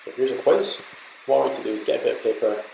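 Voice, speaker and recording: A man's voice: mid-range voice, fast, gravelly, mellow, roomy sound, slight background noise, poor audio. A man giving an informal, explanatory slide lecture to trainee doctors, talking them through examples in an instructive way. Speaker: medical lecturer.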